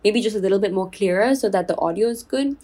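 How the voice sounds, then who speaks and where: A young woman from Singapore hosting her own podcast in a chatty, confiding way, enunciating clearly with a soothing voice. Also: medium pitch, fast, warm tone. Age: 20s. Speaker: podcast host. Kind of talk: casual solo podcast chat.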